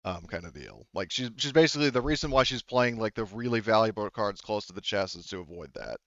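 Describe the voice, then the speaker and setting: A man's voice: lowish voice, lively intonation, quick pace, a bit bright, no echo, highs cut off. A man running a tabletop game as game master, explaining things casually in a hesitant, rambling way.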